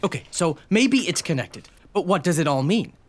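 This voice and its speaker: Sardonic skeptic for radio drama, male actor: highish, very animated, dismissive, faint noise behind. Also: moderate pace, natural voice. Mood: sardonic, doubting. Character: skeptical, sardonic male friend, gruffly practical.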